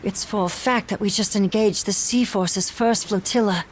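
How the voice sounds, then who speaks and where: A woman's voice: mid-range voice, normal speed, slight background noise, lightly acted. An actress playing a resolute female ship captain in a video game, with a commanding, articulate, formal way of speaking. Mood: composed. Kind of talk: English video game voice acting. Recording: game music behind the voice.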